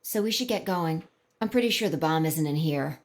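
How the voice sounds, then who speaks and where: An actress playing a composed, knowing young woman in a video game, speaking in a measured, matter-of-fact, slightly teasing way. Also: low-pitched, lively intonation. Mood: earnest, reflective.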